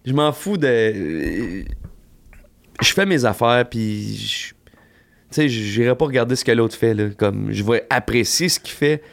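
A man with a Québécois French accent, a country singer talking as a podcast guest in a relaxed, slangy way full of fillers and the odd swear. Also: mid-range voice, unhurried, creaky. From Quebec. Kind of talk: casual podcast chat.

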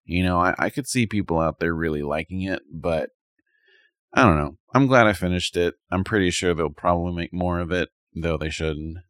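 Low-voiced man chatting, hesitant and rambling. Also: normal speed, fairly bright tone, no room sound, studio quality. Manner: hesitant, rambling, thinking aloud. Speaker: podcast co-host. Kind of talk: casual chat, reviewing anime.

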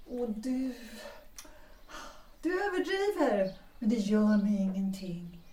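An actress playing an ardent, earnest former opera singer in love, speaking with dramatic romantic phrasing in a period audio drama. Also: female voice, medium pitch, very animated, mellow, lightly acted. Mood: gently reproachful. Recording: roomy, distant from the mic.

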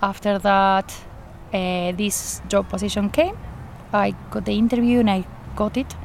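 A woman's voice: mid-range voice, steady tone, measured pace, vocal fry. Woman, Argentine Spanish accent, earnest, interviewed outdoors in a garden, faint background noise. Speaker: garden coordinator. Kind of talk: interview, personal account.

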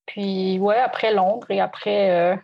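A woman's voice: mid-range voice, fairly even intonation, slow, vocal fry, dull audio. A woman violinist from Canada, in her thirties, talking reflectively in an interview, hesitant with many restarts. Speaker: violinist guest. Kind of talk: conversational interview.

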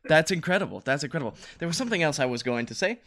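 Lively, higher-voiced man co-hosting a podcast. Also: racing. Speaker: podcast co-host. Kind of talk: casual podcast interview.

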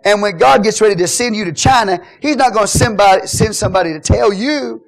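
Middle-aged man, pastor mid-sermon; fairly high voice, playful asides, builds to loud exhortation. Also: fairly quick, gravelly. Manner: jokey, swings from quiet asides to loud exhortation.

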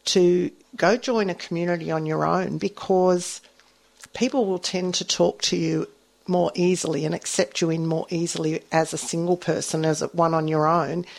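Female host in her sixties, gravelly and fairly low, warm encouraging interview manner. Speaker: radio host. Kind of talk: radio interview.

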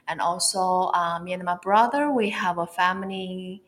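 A woman from China with a Chinese accent, speaking English as a second language, talking as an interview guest in a halting way, often searching for words. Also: fairly low pitch, a bit bright. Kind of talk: casual interview talk.

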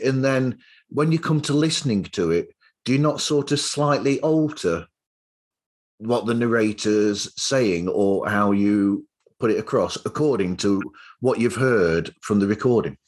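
A man interviews guests on a podcast in a middle-pitched voice. He is casual and feels his way through long, wandering questions. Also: unhurried. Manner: rambling, tentative questioning.

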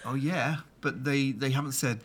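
An actor plays a man in a naturalistic audio drama, a placating and apologetic colleague. His medium-pitched voice is hesitant and soothing, stumbling as he tries to smooth things over. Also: steady tone, moderate pace, close to an everyday voice. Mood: uneasy, placating. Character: placating, apologetic colleague, mildly encouraging, uneasy.

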